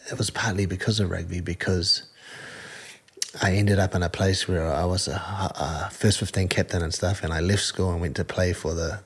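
A man with a New Zealand accent talks through an interview in an earnest, halting way, stopping and restarting his sentences. His voice sits very low, with a husky grain to it. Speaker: combat sport fighter, guest. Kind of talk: casual interview answers.

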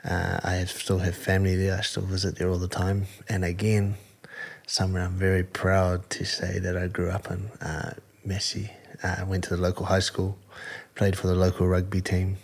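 A man with a New Zealand accent talks through an interview in an earnest, halting way, stopping and restarting his sentences. His voice sits very low, with a husky grain to it. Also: completely flat delivery. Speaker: combat sport fighter, guest. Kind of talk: casual interview answers.

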